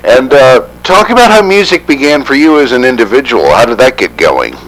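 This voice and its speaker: Male interviewer, animated pitch, easygoing questions, faint hiss. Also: a little fast, poor audio.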